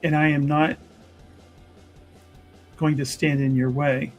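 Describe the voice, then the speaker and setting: A man playing an earnest, solemn member of a fantasy church in a tabletop game, speaking in measured, halting, conciliatory phrases. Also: a little high, level tone, a little mellow, faint music behind. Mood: earnest, gentle. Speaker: tabletop roleplay player. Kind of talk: in-character improvised dramatic dialogue.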